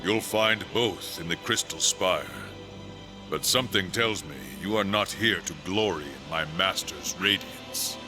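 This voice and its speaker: A steadfast angelic guardian in a fantasy game. His voice is low and his speech formal and earnest, like a seasoned soldier, with cinematic music clearly behind it. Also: measured pace. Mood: grave, steadfast. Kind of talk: dramatic game cutscene acting.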